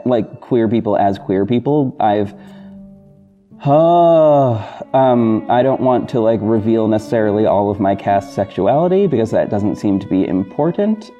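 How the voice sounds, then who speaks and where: A man hosting a podcast, talking casually and apologetically to listeners in a halting, self-correcting, rambling way. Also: fairly low pitch, lively intonation. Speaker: podcast host and audio drama creator. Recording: dull lo-fi recording with music bed. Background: soft guitar backing music.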